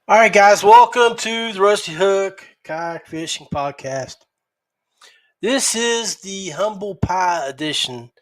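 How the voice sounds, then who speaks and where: A middle-aged podcast host talks casually on his own. His voice sits a little high for a man, and he takes his time, pausing and doubling back on words as he recaps. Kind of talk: casual solo recap talk.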